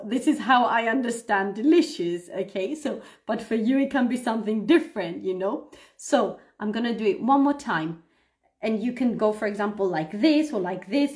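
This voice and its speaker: A woman hosting a live English pronunciation lesson, explaining things to viewers in an instructive, expressive way with emphasis and frequent checks for understanding. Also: fairly high pitch. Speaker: English pronunciation teacher, livestream host. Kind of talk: live lesson talking to viewers, demonstrating read-aloud.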